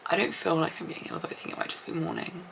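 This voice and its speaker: Chatty English woman with a gravelly voice. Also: little pitch movement, rapid-fire, slight background noise. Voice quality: slightly deep, husky morning voice. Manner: chatty, rambling, often trails into a soft whisper. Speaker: vlogger. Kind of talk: casual vlog chat to camera. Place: at home and outdoors after a run. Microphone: small handheld camera.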